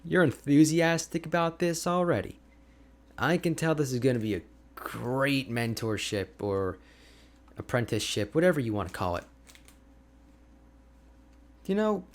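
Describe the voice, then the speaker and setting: A man playing an energetic, friendly young ice mage mentor in an ASMR roleplay, talking to the listener in an animated, informal, chatty way. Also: mid-range voice. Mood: upbeat, joking, then wistful, musing. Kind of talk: ASMR roleplay audio drama, addressing the listener.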